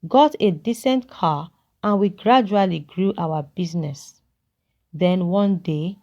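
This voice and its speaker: Woman as kindly old man, fatherly, regretful, measured, folktale storytelling. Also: mid-range voice, a little mellow, dull audio, close to an everyday voice. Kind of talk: character dialogue in a narrated folktale.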